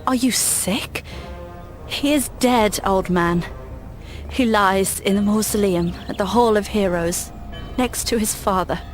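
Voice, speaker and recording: A woman's voice: medium pitch, takes it slowly, soft background music, lightly acted. An actress playing a grieving young queen in a video game, curt, stern and imperious. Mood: curt, weary. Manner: stern, blunt. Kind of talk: video game cutscene acting.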